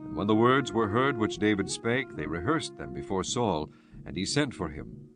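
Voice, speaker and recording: Low-voiced man narrating scripture with animated range. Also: music in the background. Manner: solemn, measured storytelling.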